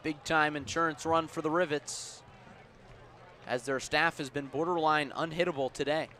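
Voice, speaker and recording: Male radio baseball commentator, forceful bright tone, live play-by-play delivery, faint ballpark noise behind. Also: fairly even intonation. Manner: measured between pitches, punchy and louder on plays. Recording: outdoor ballpark ambient noise.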